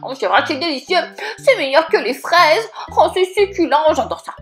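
A woman voicing a gentle grown-up fairy who speaks slowly and softly. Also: high voice, big pitch swings, soft background music. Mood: dreamy.

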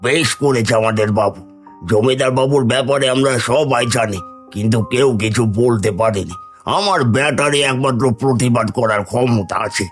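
A man's voice: mid-range voice, normal speed, soft background music, close to an everyday voice. Village father for a cartoon male actor: proud, aggrieved, middle register. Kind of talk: cartoon voice acting.

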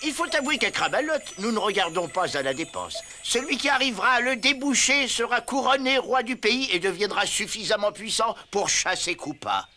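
A man's voice: high-pitched, expressive, moderate pace, hardly any pauses, sharp tone, a little hiss or hum, heavily acted. A man voicing a fussy, theatrical old bearded wizard in a cartoon dub. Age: older. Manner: theatrical, pompous.

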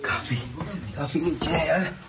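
A man's voice: a little high, unhurried, loud music behind the voice. Chatty male travel vlogger. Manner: chatty, mixes English phrases, excited exclamations. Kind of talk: casual travel vlog narration. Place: outdoors and inside a limestone cave.

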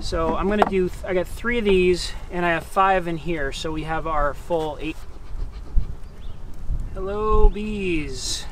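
A middle-aged man working as a beekeeper, calmly talking to himself outdoors on a farm while he works. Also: high-pitched, unhurried, background noise. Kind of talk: casual hands-on narration.

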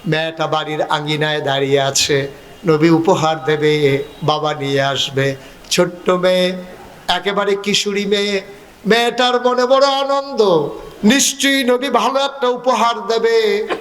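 A man with a Bangladeshi accent preaching an impassioned Islamic sermon, telling a religious story in an emotive, half-chanted way with drawn-out phrases. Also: high voice, steady tone, fairly bright tone.

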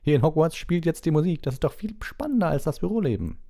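An exuberant, jolly official from a storybook, acted by a male narrator, with a voice lifted very high. He sounds eager, chummy and boyishly excited. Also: quick pace.

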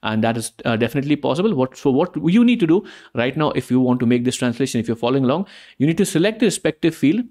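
A young man whose first language is Hindi, presenting a screen-recorded software tutorial in a casual, explanatory way with frequent fillers and restarts. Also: medium pitch, very animated, quick pace.

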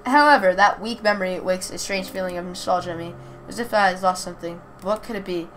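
A woman's voice: on the low side, quick pace, fairly bright tone, rough recording. A woman reading a story aloud with casual asides, stumbling over words and correcting herself. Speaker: reader of a visual novel playthrough. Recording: clear background noise.

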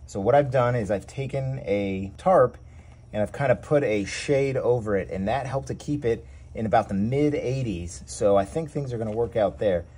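Husky-voiced man explaining a hands-on project. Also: mid-range voice, moderate pace. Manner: relaxed, explains each step as he works. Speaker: worm farming channel host. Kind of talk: casual how-to demonstration. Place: outdoor covered back porch.

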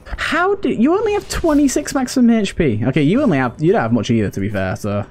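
A man's voice: high-pitched, sing-song, quick pace, darkish tone. A man giving casual let's play commentary as a gaming host, talking to himself and to the characters on screen. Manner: talks to himself and to game characters.

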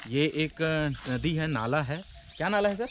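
Outdoor travel vlogger man in his thirties, lively, talks straight to camera, a bit high-pitched, noisy open-air recording. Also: measured pace. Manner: lively, addresses viewers directly as friends. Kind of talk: casual travel vlog narration. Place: outdoors in a dry forest, riding pillion and hiking. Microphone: action camera on a bike and handheld. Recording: outdoor wind and ambient noise. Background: forest ambience and motorbike noise.

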